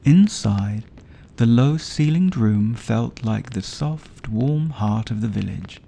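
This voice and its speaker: A man narrating a sleep story for adults as a storyteller, with a soothing, gentle, unhurried delivery. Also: on the low side, gravelly. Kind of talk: slow bedtime story narration.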